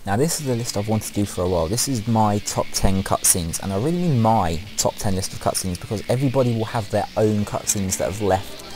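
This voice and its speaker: A man's voice: lowish voice, animated, fairly quick, few pauses, low-quality recording. A man giving scripted opinion commentary as the presenter of a video game countdown. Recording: music behind the voice. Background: loud music.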